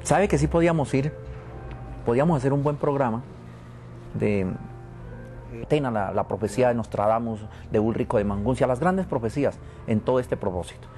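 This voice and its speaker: A man's voice: mid-range voice, fairly quick, darkish tone, faint music behind. A man from Venezuela, interviewed about prophecy, speaking in a solemn, repetitive, preaching cadence. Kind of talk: spiritual and prophetic talk.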